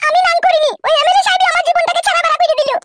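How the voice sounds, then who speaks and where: A voice actor playing a scorned, sharp-tongued village mistress who scolds and threatens in a comedy cartoon, through a pitched-up effect. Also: fairly even intonation, racing, no echo, clean studio sound. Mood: indignant ranting. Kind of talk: amateur Bengali comedy cartoon dialogue.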